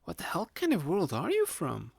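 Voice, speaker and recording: A man playing a sly, playful young male student hypnotist in a conversational ASMR roleplay, speaking to the listener in a gravelly, teasing voice. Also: a little high, wildly swooping pitch, normal speed, darkish tone, no room sound, heavily acted. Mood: curious, amazed. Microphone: close studio-style microphone, dry.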